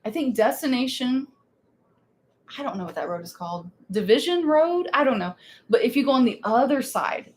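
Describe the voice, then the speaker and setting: Chatty woman, slightly high voice. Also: expressive, normal speed, darkish tone. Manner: chatty, laughing, rambling with restarts. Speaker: co-host of a theme park live stream. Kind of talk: casual live-stream chat.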